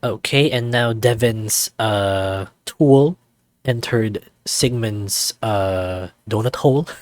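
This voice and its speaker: A man's voice: lowish voice, slow pace, no echo. A man reading a visual novel aloud as channel host, with coy, hesitant commentary. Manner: giggly, coy, hesitant asides.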